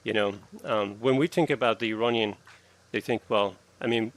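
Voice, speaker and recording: A man in his thirties from Iran, a classical guitarist answering interview questions earnestly, with halting restarts. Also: fairly low pitch, low-quality recording.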